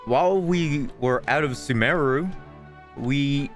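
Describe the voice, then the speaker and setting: A man's voice: mid-range voice, takes it slowly. A man giving casual gameplay commentary as a streamer, chatty and full of joking asides. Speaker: game streamer. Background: game music.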